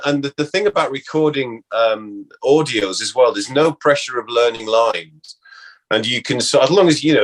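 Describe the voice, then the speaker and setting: A man, an actor being interviewed, reminisces casually in a halting way, often interrupting himself. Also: mid-range voice, low-quality recording.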